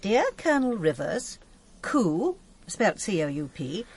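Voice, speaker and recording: A woman playing an unflappable older English mother in a radio drama, brisk, haughty and dismissive. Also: medium pitch, sing-song, a little mellow, slightly rough, heavily acted. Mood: brisk, unruffled.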